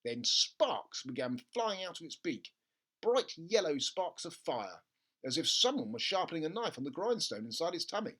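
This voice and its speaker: A storyteller reading a children's book aloud in an expressive narrating style. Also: medium pitch, moderate pace. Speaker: storybook reader.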